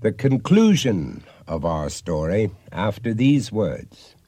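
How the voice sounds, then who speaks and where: A man's voice: fairly low pitch, very animated, slow, warm tone, no echo. A man hosting a radio drama, giving scripted narration.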